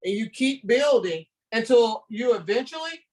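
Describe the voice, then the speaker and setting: An older woman from the southern United States with a Southern accent, a teacher talking to students on a video call in a lively, storytelling and encouraging manner. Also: a little high, normal speed, far from the mic, low-quality recording. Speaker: ESL teacher guest. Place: home room with bookshelves.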